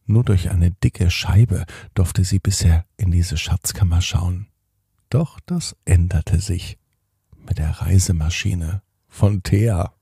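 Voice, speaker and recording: A man narrating a scripted bedtime story for children in a husky, breathy, unhurried voice as a storyteller. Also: fairly low pitch, mellow, dry recording, clean studio sound. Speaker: storyteller and podcast host.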